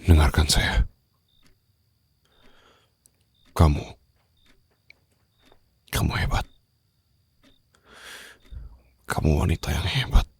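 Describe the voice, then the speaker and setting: A man playing a brooding, protective mafia boss in an intimate ASMR roleplay, speaking slowly and softly in a husky, breathy voice that drifts into whispers. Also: rumbling low, lively intonation, dry recording, heavily acted. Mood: stern, grave. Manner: slow, hushed, formal and soothing, drifting into whispers. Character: brooding young male mafia boss, commanding yet tenderly protective. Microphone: close studio microphone, dry.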